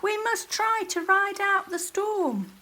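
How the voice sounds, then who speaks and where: A woman plays a plucky little storybook animal sailor. He shouts urgent orders in a very high, loud voice, brave and determined. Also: animated, slow, heavily acted.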